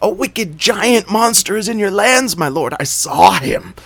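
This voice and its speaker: A man doing a shrill panicky jackal. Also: expressive, a little slow, gravelly, a bit bright, dry recording, heavily acted. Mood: frantic. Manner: high panicky yelping cries. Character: nervous shrill male jackal servant.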